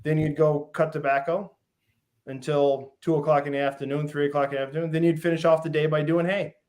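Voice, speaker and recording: A middle-aged man from Western Massachusetts, a guest on an interview show, telling stories in a relaxed way with many pauses and lists. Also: fairly high pitch. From Western Massachusetts, USA. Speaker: guest, fish farm founder. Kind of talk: casual interview.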